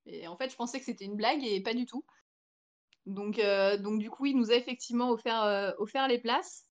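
A woman's voice: on the high side, a little fast. A young French woman, a podcast guest, telling a long anecdote in casual chat with many fillers and restarts. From France. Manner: chatty storytelling with many fillers.

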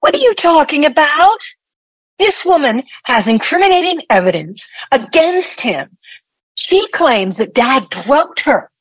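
A woman's voice: high voice, sing-song, unhurried, dry recording, poor audio. A woman in an audio play acting a hostile, bluntly sarcastic elder daughter. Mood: scornful.

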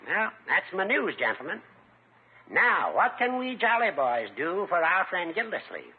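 Pompous high-voiced judge, muffled vintage radio. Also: male voice, big pitch swings, a little slow, husky, heavily acted. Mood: grave, indignant. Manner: pompous, flowery, formal speech. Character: pompous, sympathetic older male small-town judge. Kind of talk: 1950s radio comedy acting. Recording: muffled, narrow-band 1950s radio recording.

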